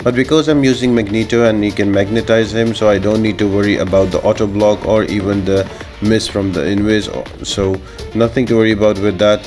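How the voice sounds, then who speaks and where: A man with a lowish voice talks through a video game guide. He explains things in an instructional, step-by-step way, as a creator guiding players. Music plays softly behind his commentary. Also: fairly even intonation, brisk.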